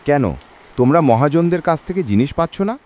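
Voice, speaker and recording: A man's voice: fairly high pitch, fast talker, dark tone, natural voice. A man voicing a worried, henpecked village guard in a cartoon. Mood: surprised, questioning. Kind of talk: animated cartoon dialogue. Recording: faint background noise.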